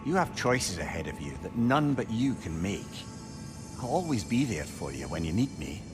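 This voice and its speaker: An actor with a Scottish accent playing an earnest, eloquent, lovelorn sage in a video game. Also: male voice, lowish voice, very animated, normal speed. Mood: earnest, wistful. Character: eloquent, tender, lovelorn male sage, former scoundrel. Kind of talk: dramatic video game dialogue. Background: music.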